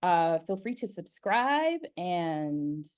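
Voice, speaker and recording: Low-voiced, very animated woman hosting. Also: extremely slow. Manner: animated, expressive. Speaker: interview host. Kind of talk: casual chat.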